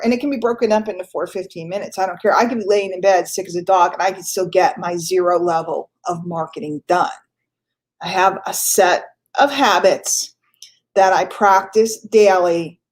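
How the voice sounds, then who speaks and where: A woman's voice: mid-range voice, darkish tone. Woman host, slightly congested with a cold, earnest motivational talk, repeats her points, casual asides. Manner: earnest, repeats key lines for emphasis; casual, conversational, with fillers and self-corrections. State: stuffy from a cold.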